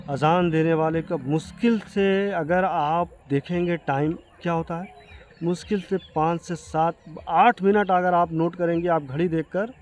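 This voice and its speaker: A man with an Indian accent giving an earnest explanation to viewers, speaking haltingly and repeating himself. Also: fairly high pitch, a little mellow. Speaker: video creator addressing viewers. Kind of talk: explanatory talk to camera.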